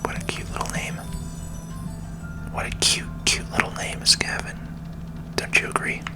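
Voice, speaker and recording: A person whispering gentle, unhurried, reassuring ASMR commentary over a video game, in a breathy, unvoiced voice. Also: over music. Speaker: gaming video host.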